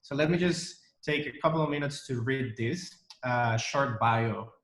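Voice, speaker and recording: A man's voice: mid-range voice, a little slow, a bit bright, roomy sound. A man hosting an interview over a video call, speaking in a welcoming way with many fillers and restarts. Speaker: interview host. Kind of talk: casual interview.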